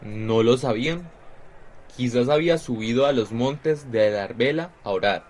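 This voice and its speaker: A man's voice: medium pitch, normal speed, darkish tone, natural voice. An actor playing Esteban, an earnest male disciple who speaks to a crowd in a solemn, preaching way, in a radio drama. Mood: recalling.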